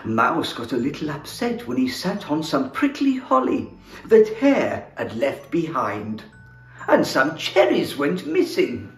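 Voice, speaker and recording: Slow, very animated male children's storyteller. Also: a little high. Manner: animated, theatrical, with chuckles. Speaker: storyteller reading as Santa Claus. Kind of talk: expressive children's picture book reading. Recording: roomy echo, music behind. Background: music.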